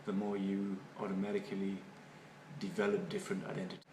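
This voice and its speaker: A man from Germany with a German accent, a native German speaker, giving halting, thoughtful interview answers and often repeating words. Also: low-pitched, completely flat delivery, creaky, darkish tone. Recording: echoey room, far from mic, noisy.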